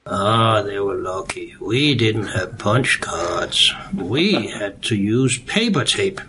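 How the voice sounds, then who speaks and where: An older man from Denmark, answering interview questions in a measured way with dry humor and frequent hesitations. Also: lowish voice, lively intonation, a crowd in the background. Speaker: guest. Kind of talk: interview conversation.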